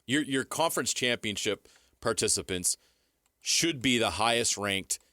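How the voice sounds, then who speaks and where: A man's voice: medium pitch, expressive, measured pace, pushed and bright, no echo, clean studio sound. An American man giving opinionated sports commentary on a talk show, emphatic and firm, repeating his points.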